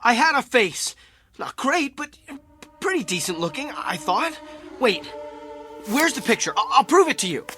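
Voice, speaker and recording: An actor playing a distraught husband in a radio drama, agitated, insistent and pleading. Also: male voice, very high-pitched, big pitch swings, normal speed, music in the background. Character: distraught husband convinced his face is a stranger's.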